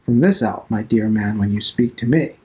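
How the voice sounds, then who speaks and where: A man's voice: fairly low pitch, normal speed, mellow, pitched below the performer's own voice, natural voice. Man voicing a haughty, mocking wife. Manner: satirical. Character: haughty, mocking, sultry wife teasing her husband.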